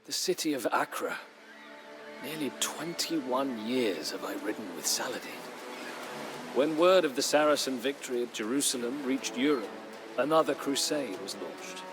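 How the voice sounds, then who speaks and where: An actor playing a weary, middle-aged former crusader knight who narrates a game's war chronicle in a solemn, measured, dramatic storytelling manner. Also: male voice, mid-range voice, natural voice, low-quality recording. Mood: grave, tense. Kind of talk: dramatic scripted first-person narration. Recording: music under the voice. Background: music.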